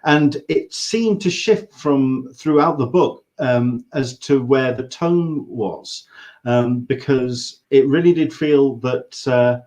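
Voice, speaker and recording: A man's voice: mid-range voice, slow, a little mellow. An English man hosting a casual interview, circling hesitantly around his questions. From England. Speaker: interview host.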